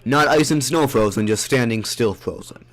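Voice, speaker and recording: A man's voice: medium pitch, moderate pace, fairly bright tone. Man narrating an audio drama.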